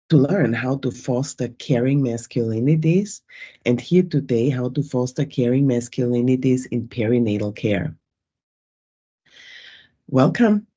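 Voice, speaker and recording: Slow, upbeat Austrian-accented woman moderator. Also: very deep voice, mellow, husky. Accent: Austrian German. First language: German. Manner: upbeat and welcoming, greets the audience. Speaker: event moderator. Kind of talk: online event moderation. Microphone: video call.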